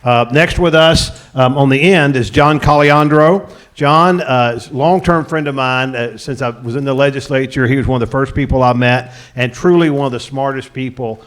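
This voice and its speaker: A middle-aged man introduces the panelists one by one at a public event. He speaks in a friendly, easygoing way and slips in light jokes for the audience, at a middle pitch. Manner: friendly, joking asides to the audience. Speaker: panel moderator. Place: conference room before an audience.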